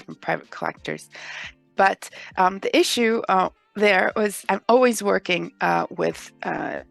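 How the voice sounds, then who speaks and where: Woman from mexico, real gravelly low voice, lots of ums, faint music under it. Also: a bit bright, dry recording. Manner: thoughtful, many ums and uhs. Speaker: guest legal expert. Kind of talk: interview answer.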